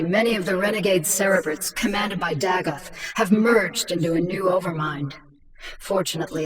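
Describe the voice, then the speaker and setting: Actress as infested alien queen for a game, cool n persuasive, mid pitch, bit far from mic. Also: natural voice. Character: cunning, commanding infested alien queen, former mindless killer. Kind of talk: scripted video game voice acting.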